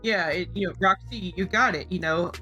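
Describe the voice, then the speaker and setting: A woman's voice: fairly low pitch, normal speed, bright, forceful tone, poor audio. A woman co-hosting a casual advice talk, speaking informally and conversationally. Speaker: co-host of a game-making show. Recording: music behind the voice. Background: background music.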